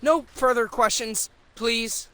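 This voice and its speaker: High, sharp, grumpy turtle teen. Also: male voice, takes it slowly, dry recording, exaggerated voice. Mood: annoyed. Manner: blunt, sarcastic. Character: grumpy, impatient teenage mutant turtle boy. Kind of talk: animated game voice acting.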